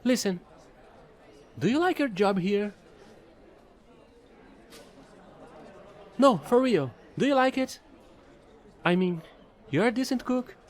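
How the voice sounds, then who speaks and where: A man playing a chatty, excitable fallen alien angel who trades in space, gushing and rambling in an audio roleplay. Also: sits high. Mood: earnest, curious. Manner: bubbly, gushing, rambling, apologetic.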